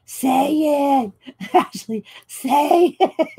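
A woman, chatting loudly while she paints and tells stories on her coloring livestream, laughing often and answering viewers. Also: high-pitched, extremely slow, vocal fry. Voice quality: loud voice. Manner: chatty storyteller, laughs often, reads live chat. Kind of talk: casual chat while painting. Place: home craft table, indoors.

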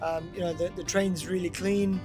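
A man's voice: high voice, level tone, moderate pace, darkish tone, faint music behind. A man talking to camera in an upbeat, chatty travel vlog from inside a train cabin. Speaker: travel vlogger. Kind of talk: casual vlog talk to camera. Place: first-class train cabin, indoors.

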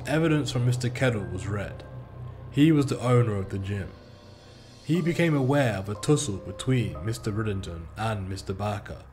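A man with a British accent reading a formal, measured crime case report as a scripted narration. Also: lowish voice, darkish tone. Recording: faint music bed behind.

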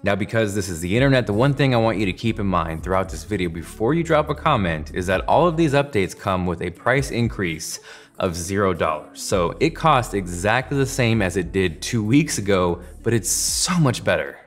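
A man in his thirties with a low voice and an American accent. He presents as a tech reviewer, walking through a scripted explanation to camera. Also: animated, normal speed, faint music behind. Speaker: tech reviewer and video host.

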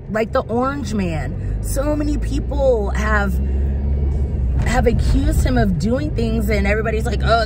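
A woman in her thirties talking bluntly in a casual vlog from her car, sometimes hunting for the right word. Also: mid-range voice. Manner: blunt, candid, searching for words. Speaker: vlogger. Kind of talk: casual opinion vlog while driving. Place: parked car, outdoors traffic around. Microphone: phone camera on dashboard. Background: car and street noise.

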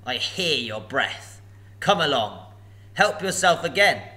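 A man voicing a sly, menacing old male dragon, slow and mocking. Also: a little high, big pitch swings, bright, forceful tone, heavily acted. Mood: sneering.

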